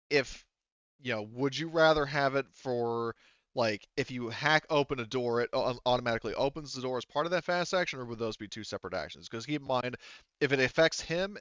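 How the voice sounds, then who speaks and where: A man's voice: mid-range voice, a bit bright, dry recording, lo-fi sound. A man acting as game master, casually narrating and refereeing a tabletop roleplaying game, thinking aloud and often correcting himself.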